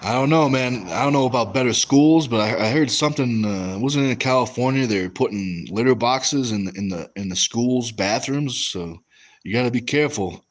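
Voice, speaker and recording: A man's voice: mid-range voice, normal speed. A young American man hosting a casual livestream, reading chat and rambling through stories with lots of fillers and restarts. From West Coast of the US.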